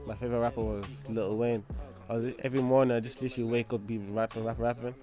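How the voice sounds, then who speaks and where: A man's voice: mid-range voice, little pitch movement, quick pace, dark tone, soft background music. A man, talking about his life as a rapper in a loose, chatty way. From Angola. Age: 20s. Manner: loose and chatty, leaning on "you know what I mean" and "obviously". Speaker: rapper telling his story. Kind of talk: casual documentary talk.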